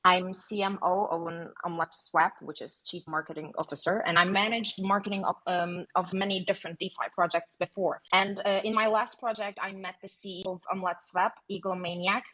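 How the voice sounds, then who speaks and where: A woman with a husky, slightly low voice talks through a prepared explanation. She pauses often with uh and um as she goes. She is a guest on a talk show. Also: steady tone, sharp tone, poor audio. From Greece. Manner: hesitant, frequent uh and um fillers. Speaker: guest, marketing officer.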